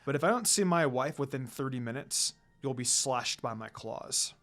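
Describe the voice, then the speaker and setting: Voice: medium pitch, expressive, normal speed, fairly bright tone, faint music behind, voice pushed down. A storyteller voicing a stern, protective demon husband with a brooding, menacing manner in a fan fiction reading. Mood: grudging, threatening.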